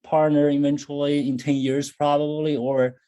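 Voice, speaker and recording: A man's voice: fairly high pitch, little pitch movement, moderate pace, dark tone. A man in his thirties from China, speaking Chinese-accented English as an interview guest, thoughtful with many fillers and restarts. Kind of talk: casual interview talk.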